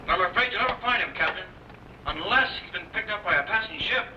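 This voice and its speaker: A man's voice: sits high, animated, fast talker. An actor playing a dutiful, anxious male lab assistant, deferential and earnest, in a vintage science fiction serial. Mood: worried. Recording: echoey, distant, noisy vintage broadcast.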